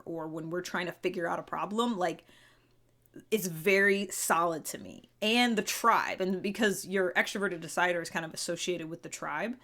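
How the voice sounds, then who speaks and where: Woman podcaster rambling thru her ideas, lots of likes and restarts, kinda low voice. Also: a bit bright. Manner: chatty, frequent fillers and restarts. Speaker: podcast host. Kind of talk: casual explanatory monologue.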